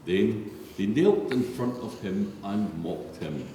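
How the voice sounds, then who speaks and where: Low-voiced man reading scripture in echoing church. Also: lively intonation, takes it slowly, mellow. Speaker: scripture reader, narrator. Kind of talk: solemn scripted Bible reading. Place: large reverberant church, read aloud. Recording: echoey church hall, distant, faint noise.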